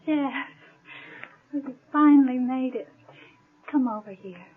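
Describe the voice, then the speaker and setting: 1950s radio acting, young space-station mother, American, high voice, teasing, tender, tinny dry recording. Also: takes it slowly, breathy edge, heavily acted.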